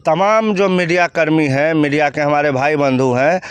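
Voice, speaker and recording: A man with a Bihari accent speaks in a fairly high, very clear voice, recorded close and dry. He comments on the news bluntly, like an outspoken analyst answering questions. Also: fairly even intonation, normal speed, no room sound. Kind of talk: opinionated news discussion.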